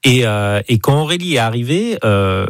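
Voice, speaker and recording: A young man, a singer-songwriter guest on a radio show, talking in a halting way and correcting himself often. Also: mid-range voice, expressive, dry recording, clean studio sound. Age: young adult. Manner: halting, self-correcting, repeats words. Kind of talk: casual interview talk.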